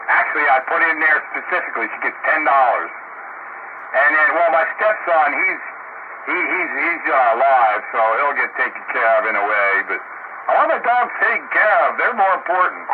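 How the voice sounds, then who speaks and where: A man's voice: a little high, animated, moderate pace. An older man chatting casually over the radio in a blunt, folksy way. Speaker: amateur radio enthusiast on a round-table net. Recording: tinny narrowband radio link, loud static.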